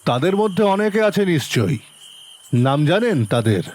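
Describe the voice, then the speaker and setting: A police detective , played by a man, recounts his investigation in an audio drama. His voice is fairly high and very expressive, shifting between storytelling and polite probing questions. It rings with long reverb over faint music. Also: normal speed. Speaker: narrator and lead actor of an audio story.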